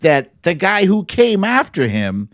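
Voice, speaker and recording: A man with a fairly high, very animated voice delivers casual, opinionated live commentary. He takes his time between points, talking bluntly with slang and swearing like a livestream host. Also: a little slow.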